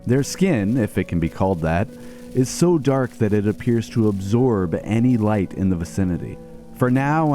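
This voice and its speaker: A man playing an idealistic starship captain and scientist, narrating a formal, measured captain's log in a game video. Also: mid-range voice, warm tone, natural voice.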